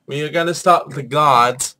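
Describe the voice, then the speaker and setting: Man, head cold, sore throat, informal video host, bright tone. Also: a little high, moderate pace. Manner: informal, apologetic and chatty. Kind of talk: casual explanatory video.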